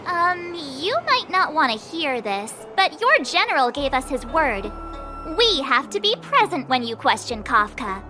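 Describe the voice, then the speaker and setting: A perky, outspoken teenage traveler in a video game. The voice is extremely high and bounces around expressively, candid and chatty, with music clearly playing underneath. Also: female voice, very animated, a little slow. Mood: chatty, curious.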